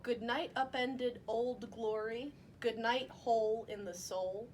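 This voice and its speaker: A woman's voice: a little high, fairly even intonation, takes it slowly. A woman reading a picture book aloud in a bedtime read-along style. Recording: faint room ambience noise.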